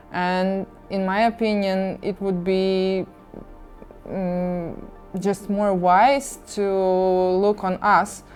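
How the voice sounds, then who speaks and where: Slow, earnest woman, Russian native. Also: medium pitch, a little mellow. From Latvia. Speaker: party representative. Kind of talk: interview answers.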